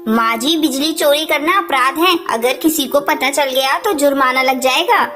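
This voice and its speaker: A young cartoon daughter-in-law bird, voiced by a woman, very high in pitch. She sounds put-upon, meek and respectful while she grumbles, with faint music behind. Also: moderate pace, pushed and bright. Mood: meek, protesting.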